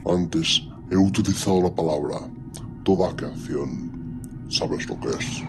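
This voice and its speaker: A man's voice: sits low, fairly even intonation, slow pace, music in the background. A man playing an ancient superhuman warlord in an audio drama, speaking with cold, commanding disdain and menace. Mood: solemn. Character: ancient imperious superhuman warlord primarch, menacing and coldly condescending.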